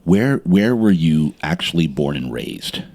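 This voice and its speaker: A man from New Jersey hosting a casual interview podcast, excitable and chatty, with lots of ums and repeated words. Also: a bass voice, a little slow. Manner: animated, excitable, repeats words, many fillers. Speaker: podcast host. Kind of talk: casual interview chat with sponsor plug.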